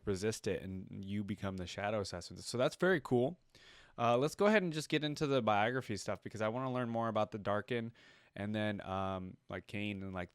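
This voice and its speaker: A man's voice: lowish voice, smooth, no room sound, clean studio sound. A man giving casual, curious reaction commentary as the host of a video, often interjecting short remarks.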